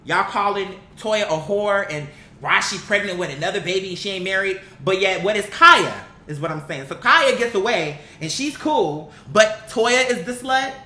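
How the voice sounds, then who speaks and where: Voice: medium pitch, animated, moderate pace, hardly any pauses. An online vlogger giving casual, opinionated commentary in a blunt, emphatic way, slangy and full of profanity. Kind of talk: casual opinionated commentary, unscripted talk to viewers. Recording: echoey room, bright sound, faint background noise.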